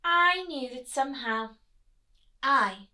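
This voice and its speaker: A woman's voice: high voice, lively intonation, slow, pushed and bright, heavily acted. A woman voicing a small, self-important pea that earnestly tells its life story in a children's storybook. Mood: proud, wistful. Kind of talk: children's storybook read-aloud.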